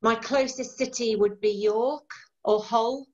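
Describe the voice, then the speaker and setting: A woman's voice: on the high side, steady tone, a little slow. A woman in her forties from East Yorkshire with a mixed Yorkshire and Irish accent, an author chatting enthusiastically and laughing easily on a video call from a room of bookshelves. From East Yorkshire, England. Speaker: author being interviewed. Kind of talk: casual chat. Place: home room lined with bookshelves. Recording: dull lo-fi video call audio.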